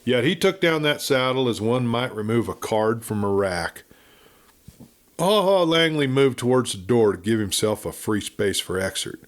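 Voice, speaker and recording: A man narrating a Western audiobook as a dramatic storyteller, with measured, theatrical phrasing. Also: medium pitch, animated.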